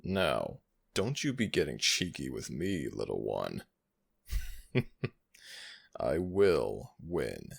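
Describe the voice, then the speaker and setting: Man playing a jackal god, ancient, proud, teasing, husky, lively pitch swings, slow formal delivery, audio roleplay. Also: exaggerated voice. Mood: teasing, fond. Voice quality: gravelly, husky. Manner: slow, drawn-out, formal speech. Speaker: voice actor.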